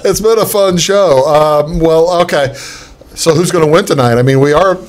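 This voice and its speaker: Man, sports talk host, chatty, wry, slightly higher voice. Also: normal speed, a crowd in the background. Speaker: show host.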